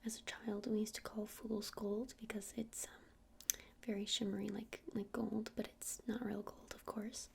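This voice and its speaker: Female, ASMR witch shopkeeper roleplay: close breathy whisper, even and unhurried, slightly hesitant, some fry, faint noise. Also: mid-range voice. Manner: hesitant, unhurried, soft-spoken. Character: gentle witch minding a crystal shop.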